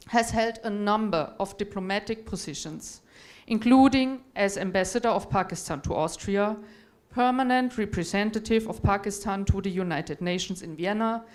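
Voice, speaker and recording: A woman from Europe moderating a conference panel, giving formal, courteous introductions of the speakers. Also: mid-range voice. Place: conference hall.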